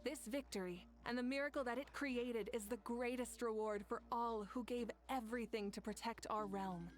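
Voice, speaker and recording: A woman's voice: on the high side, normal speed, natural voice. A woman voicing a proud fiery leader, solemn. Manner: solemn, earnest storytelling. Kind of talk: game cutscene dialogue. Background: soft game music.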